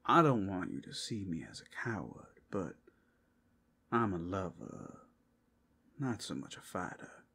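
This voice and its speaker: A man plays a cautious brothel keeper in a fantasy audio drama. His voice is low and gravelly, and he explains himself in a calm, hushed, unhurried way. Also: heavily acted. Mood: reluctant, calm. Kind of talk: ASMR fantasy roleplay.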